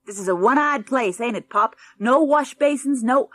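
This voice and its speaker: A loud, pushy American boy of about eleven from a children's storybook, acted by a woman. He is pitched high and whines rudely, demanding things in an American accent. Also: normal speed, dry recording, close to an everyday voice. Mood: scornful. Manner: rude, demanding.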